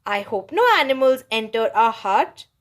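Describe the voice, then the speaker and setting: A woman's voice: on the high side, animated, normal speed, lightly acted. A woman voicing a curious young village boy in a children's story, asking questions.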